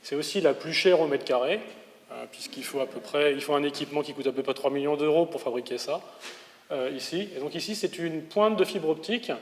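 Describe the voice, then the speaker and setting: A man's voice: fairly high pitch, brisk. A man in his forties from the Paris region with a metropolitan French accent gives a public lecture, explaining and rephrasing with some hesitation. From Paris region, France. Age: 40s. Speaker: engineering professor giving a public lecture. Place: large reverberant lecture venue. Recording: echoey hall with long reverb.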